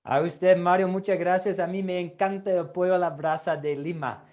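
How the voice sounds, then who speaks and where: A pastor teaching an online class, courteously calling each student by name. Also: male voice, high-pitched, fairly even intonation, moderate pace, a little mellow. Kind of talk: hosting an online class roll call.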